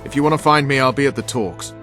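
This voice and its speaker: An actor playing a gruff, defiant military commander in a fantasy game, curt and commanding. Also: male voice, medium pitch, fast talker, fairly bright tone, faint music behind, natural voice. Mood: businesslike. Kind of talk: dramatic game dialogue.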